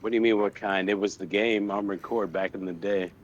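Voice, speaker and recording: A man's voice: on the low side, steady tone, brisk, a little hiss or hum. A man in his late thirties chatting casually with a friend on stream, laid-back and profane. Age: late 30s. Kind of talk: casual chat.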